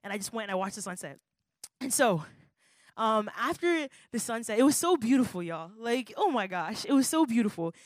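A young adult woman pastor giving an energetic, playful youth workshop talk, slangy and laughing often. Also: on the high side, fairly quick, no echo, clean studio sound. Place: conference room with youth audience.

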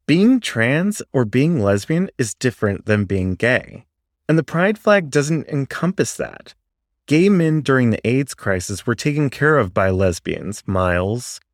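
A man's voice: medium pitch, big pitch swings, a little slow, no room sound, studio quality. A man voicing a female panther student in a story, earnest, pointed and standing her ground. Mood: indignant.

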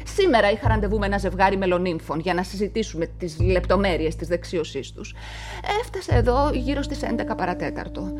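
An actress narrating a radio play in the first person as a nervous events manager, often dropping into confiding whispers. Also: female voice, mid-range voice, lively intonation, over music, close to an everyday voice. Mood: earnest. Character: nervous, talkative female events manager caught up in a murder.

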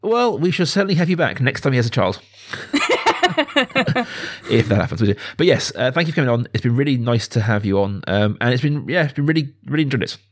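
A man around his thirties or forties hosting a casual film discussion, talking enthusiastically in a halting, discursive way. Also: mid-range voice, animated. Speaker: podcast host.

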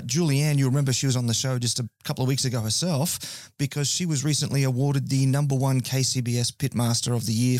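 A hoarse man hosts a podcast and rattles through his announcements. He sounds chatty and upbeat, pokes fun at himself, and keeps his pitch in a middle range. The sound is dry and close, with no room echo. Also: darkish tone. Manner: chatty, upbeat, self-mocking. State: hoarse from a cold. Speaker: podcast host. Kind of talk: podcast intro and sponsor announcements.

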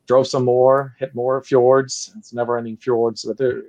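A man from Sweden, a guest executive, telling a travel story in a relaxed, friendly way. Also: mid-range voice, unhurried, no room sound. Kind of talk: casual interview chat.

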